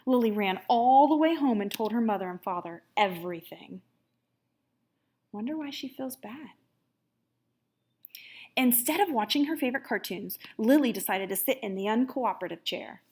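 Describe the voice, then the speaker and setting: A woman teacher reading a story aloud to young children in an expressive, animated manner, pausing to chat with her listeners. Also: fairly high pitch, husky.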